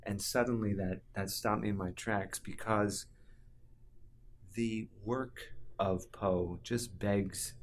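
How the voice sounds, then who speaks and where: Deliberate, low-pitched man with faint room noise. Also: steady tone. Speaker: interviewed composer. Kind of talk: conversational interview.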